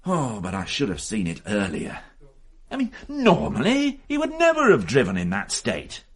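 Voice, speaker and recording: An actor playing a dogged, excitable police detective in a radio mystery drama, reasoning aloud with emphatic insistence. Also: male voice, medium pitch, moderate pace, slightly rough. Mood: grave, resolved. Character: dogged male police detective, excitable, sure of his theory. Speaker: investigator.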